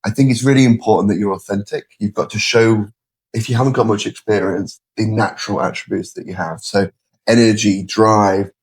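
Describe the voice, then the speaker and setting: A middle-aged man with a British accent explaining his views in a measured, conversational way as an interview guest. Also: on the low side, slightly rough. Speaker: interview guest, sales leader.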